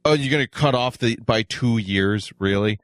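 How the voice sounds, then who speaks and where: A man's voice: medium pitch, normal speed, fairly bright tone, no room sound, clean studio sound. A man in casual opinionated panel talk, speaking bluntly and with sarcasm. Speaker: podcast panelist.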